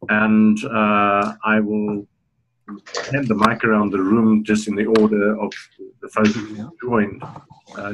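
A man's voice: fairly low pitch, slightly rough, rough recording. A middle-aged man hosting a video-call webinar on a headset, easygoing, with many "uh" fillers. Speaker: webinar host.

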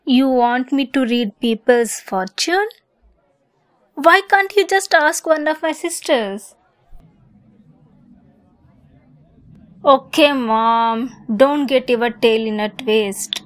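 A woman's voice: high-pitched, takes it slowly. A woman playing a lovesick, possessive young kitsune fox girl in an ASMR roleplay, sweet, coy and giggly in manner. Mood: reluctant, exasperated.

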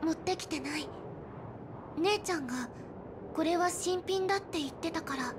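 A woman voicing a calm, practical young girl explorer in an anime game, soft-spoken and matter-of-fact. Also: extremely high pitch, moderate pace, noisy background. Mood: worried, puzzled.

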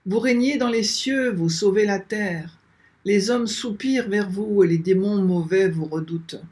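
An older man leading a slow prayer, reciting repeated invocations in a steady, measured chant, filmed by a webcam in a small room. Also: sits high. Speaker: prayer leader.

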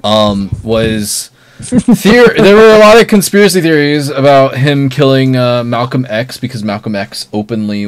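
A man co-hosting a casual panel podcast over an online call, explaining things in a halting, rambling way. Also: on the low side. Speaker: podcast co-host.

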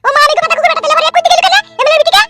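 A woman voicing a scolding, aggrieved village wife and mother in a comedy cartoon, full of shrill, nagging complaints. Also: little pitch movement, rapid-fire, very noisy. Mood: scolding, outraged. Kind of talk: amateur comedy cartoon dialogue. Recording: music bed behind dialogue.

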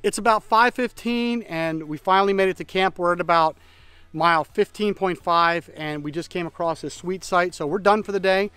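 A middle-aged man chatting casually on a backpacking vlog, talking outdoors in a forest into a close camera, relaxed and thinking aloud. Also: sits high, moderate pace, faint music behind. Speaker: backpacking vlogger. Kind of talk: casual trail vlog narration. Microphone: camera at close range.

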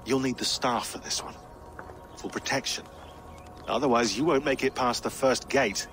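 An actor playing a talkative, sardonic tech expert in a video game. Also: male voice, medium pitch, normal speed, a little hiss or hum, natural voice. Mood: wry. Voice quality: sardonic, animated.

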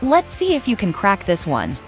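Lively woman narrating a quiz over music. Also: medium pitch, moderate pace. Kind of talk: upbeat scripted quiz narration.

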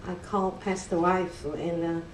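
A middle-aged woman with a Chinese accent speaks English haltingly, pausing on fillers and sounding nervous. Her voice sits a little low and she talks slowly. She is heard from a distance in an echoey church hall. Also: level tone, a little hiss or hum. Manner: hesitant, many fillers and restarts, nervous laughs. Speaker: church member giving a testimony. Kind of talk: halting personal testimony. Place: large church hall indoors. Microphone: handheld mic, picked up from a distance. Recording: echoey hall recorded from far off.

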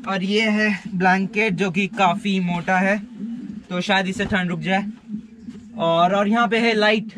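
Young male travel vlogger, eager and casual, outdoors with a handheld camera, light higher voice. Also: low-quality recording. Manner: chatty and enthusiastic, addresses viewers as guys. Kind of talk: casual travel vlog narration. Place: outdoors at a hillside campsite. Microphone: handheld camera at arm's length.

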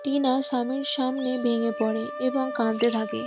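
A woman's voice: a little high, fairly even intonation, moderate pace, music in the background. A woman reading scripted storytelling narration for a cartoon moral tale.

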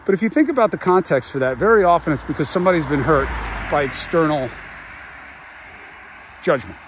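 A man's voice: a little high, expressive, fairly quick, background noise. A man in his fifties hosting a podcast, talking through a reflective monologue outdoors on a walk, musing and asking rhetorical questions. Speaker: podcast host. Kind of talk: reflective monologue while walking.

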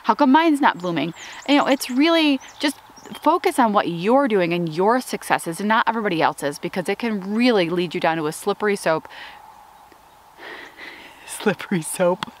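A woman's voice: medium pitch, expressive, moderate pace, a little hiss or hum. Chatty woman touring her flower farm outdoors. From Upstate New York. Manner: chatty and upbeat, addresses viewers as guys. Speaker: flower farmer. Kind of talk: casual farm tour vlog. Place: outdoors in open farm field.

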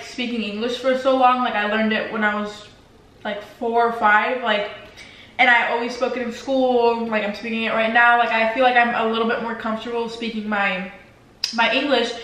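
A woman's voice: on the high side, fairly bright tone. A teenage girl with Spanish as her first language, chatting casually on a couch and rambling with lots of "like" fillers. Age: teens. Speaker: vlogger. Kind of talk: casual Q&A chat while eating. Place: living room, seated on a leather couch. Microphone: camera across the room. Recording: very echoey room, voice from a distance.

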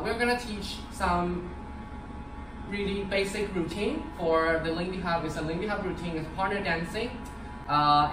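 A young man from Taiwan, teaching a partner dance class in a casual, upbeat way, with fillers and restarts. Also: a little high. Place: large empty dance studio. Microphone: far camera. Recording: echoey studio from far off, noisy.

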